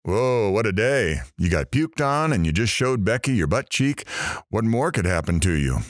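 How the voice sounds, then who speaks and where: A man's voice: fairly low pitch, big pitch swings, normal speed, no echo, studio quality, soft background music. A man playing a sardonic, worldly wisecracker commenting in an audio drama. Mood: wry amused. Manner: sardonic, joking.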